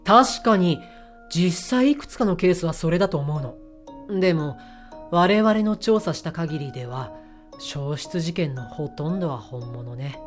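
A woman acting in an audio drama as a bossy, sharp-tongued mission leader, blunt and self-assured, with feminine phrasing. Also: sits low, animated, measured pace, soft background music.

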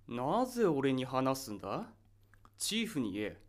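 A man playing a dismissive, authoritative spaceship captain in an audio drama, curt and deliberate. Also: mid-range voice, huge pitch swings. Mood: cold, dismissive.

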